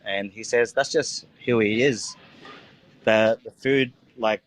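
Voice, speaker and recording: Australian chef, relaxed and rambling. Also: male voice, fairly low pitch, slow pace, low-quality recording. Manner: relaxed, rambling, many fillers like "like" and "you know". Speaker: chef and restaurant owner. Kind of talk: casual interview answers.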